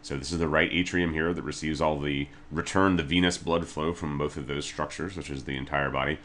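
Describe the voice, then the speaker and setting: A man's voice: very deep voice, fairly even intonation, a little fast, a bit bright. A man giving a casual tutorial as an instructor, talking informally and a little hesitantly, step by step. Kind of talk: casual video tutorial narration.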